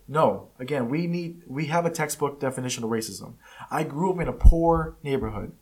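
A man hosting his own podcast and talking at his usual middle pitch, a native Spanish speaker who now speaks English. His delivery is blunt and emphatic. He often stops and restarts his sentences. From United States. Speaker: podcast host. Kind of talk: casual opinion monologue. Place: California.

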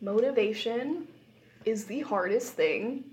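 A woman's voice: a little high, takes it slowly, a little mellow. A young woman in casual podcast chat, giggly and self-deprecating in the way she talks. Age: young adult. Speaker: podcast co-host.

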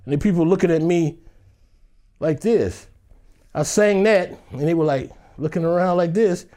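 A man with a high-pitched voice tells a story as an interview guest. He keeps it loose and chatty, with many "you know"s, and slips into the voices of the people in his tale. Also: moderate pace, dark tone. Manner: acts out other people's lines in the story. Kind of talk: animated anecdotal storytelling.

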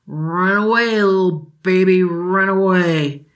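A woman's voice: lowish voice, slow pace. A woman voicing a drunk, abusive father who slurs and sneers. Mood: mocking. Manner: slurred and sneering.

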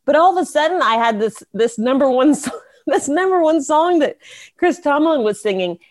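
A woman's voice: high-pitched, animated, normal speed. A woman from the Carolinas, a songwriter guest telling her story in an interview, bubbly and rambling with laughs.

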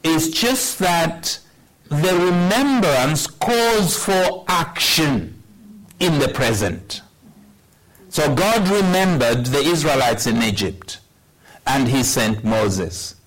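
A man in his sixties preaching a sermon, telling a personal story in a measured way with wry humor. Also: on the high side, animated, fairly bright tone, poor audio. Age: 60s. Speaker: pastor.

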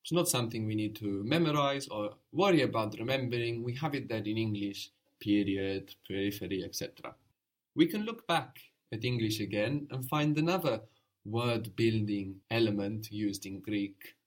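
A man teaching a language lesson, patiently prompting his student with questions and brief praise. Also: medium pitch.

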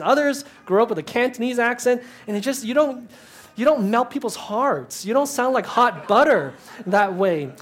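A man in ministry giving a conversational talk to an audience, chatty and self-deprecating, with asides and many restarts. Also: high-pitched.

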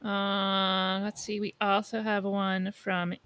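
A woman's voice: medium pitch, little pitch movement, slow, a bit bright, smooth, no echo. A woman co-hosting a podcast, chatting casually about pop culture and thinking aloud. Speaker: podcast co-host.

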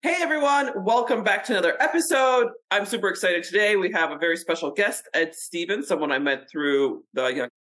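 A Russian-born woman in her forties hosts a podcast chat, upbeat and quick to laugh. She restarts her sentences often and keeps the talk casual. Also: lowish voice, expressive, a bit bright. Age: 40s. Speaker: podcast host.

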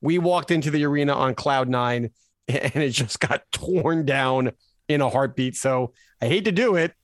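A man's voice: mid-range voice, normal speed, no room sound, studio quality. An American man co-hosting a casual sports podcast, telling stories animatedly and acting out what people said. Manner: animated storyteller, acts out quotes. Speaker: podcast co-host.

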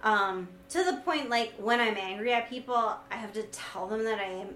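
A woman with a bright, sweet, high voice chats reflectively as a vlog co-host. She sounds gentle even when being wry and self-deprecating. The room is echoey, with faint noise behind her. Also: normal speed. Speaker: vlogger and co-host discussing their video series. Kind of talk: casual reflective conversation.

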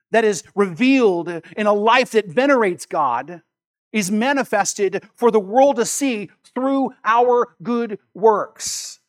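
A man preaching a sermon as a pastor, reading scripture and repeating key phrases, with emphatic delivery that moves between quieter asides and loud stressed words. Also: high-pitched.

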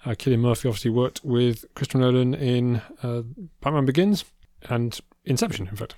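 Quick, dry-witted man, film podcast. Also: medium pitch, dark tone, dry recording. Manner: quick, dry and wry, with hesitant fillers. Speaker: podcast co-host. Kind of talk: casual film podcast chat.

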